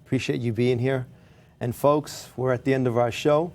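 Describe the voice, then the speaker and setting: A man hosting a TV interview show, encouraging and quick with short affirmations. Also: mid-range voice, steady tone, darkish tone. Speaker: talk show host. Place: television studio.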